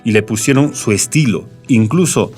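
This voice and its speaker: A man's voice: mid-range voice, animated, moderate pace, darkish tone. A man reading scripted documentary narration. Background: music.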